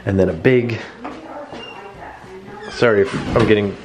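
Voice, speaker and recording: A man in his thirties giving a quick, upbeat house tour and chatting at home. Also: on the low side, noisy background. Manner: quick, upbeat, rambling with restarts. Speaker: vlog co-host. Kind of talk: casual house tour and chat. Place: living room at home, indoors.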